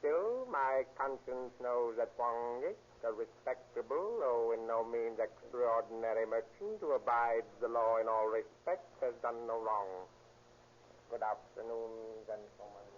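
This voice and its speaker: A man acts out a sly, unruffled merchant crime boss in a vintage radio play. He speaks in elaborate, mock-humble courtesies at a middle pitch. The old recording is muffled, with clear background noise. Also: steady tone, a little slow, natural voice. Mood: calmly defiant. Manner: elaborately formal, mock-humble, flowery politeness. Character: unflappable, sly Chinese merchant and dope boss. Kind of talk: 1930s radio acting.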